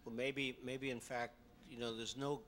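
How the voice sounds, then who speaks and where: An older man talks on a discussion panel, his tone relaxed and dry. His voice is bright and forward. There is a faint hiss of room ambience behind him. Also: mid-range voice, moderate pace. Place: hotel conference room. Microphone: table mic on a stand.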